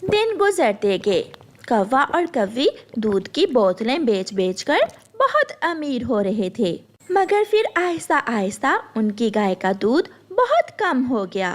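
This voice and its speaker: A woman's voice: on the high side, sing-song, takes it slowly. A woman reading a scripted children's cartoon story as narrator.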